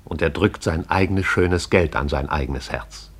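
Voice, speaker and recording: A man narrating a radio play in a measured, poetic manner. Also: extremely low pitch, breathy edge.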